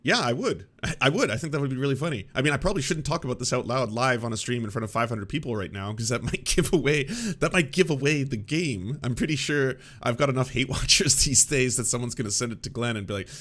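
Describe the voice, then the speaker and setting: Male streamer, mid-pitched voice, mocking and rambly, reacts to clips live. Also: quick pace, few pauses, fairly bright tone. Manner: sarcastic, mocking, rambling with fillers. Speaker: streamer and political commentator.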